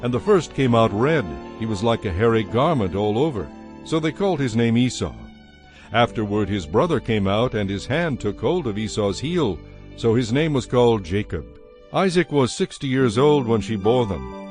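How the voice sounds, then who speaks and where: A man narrating dramatized scripture in a solemn, measured manner. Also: mid-range voice, very animated, darkish tone. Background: music.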